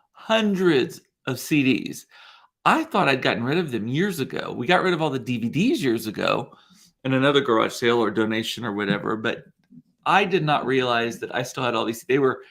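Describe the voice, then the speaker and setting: A man's voice: medium pitch, fairly bright tone. Congested man in his forties chatting. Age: 40s. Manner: chatty, rambling storyteller. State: congested, sniffly. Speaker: livestream host. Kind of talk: casual solo livestream chat. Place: room at home.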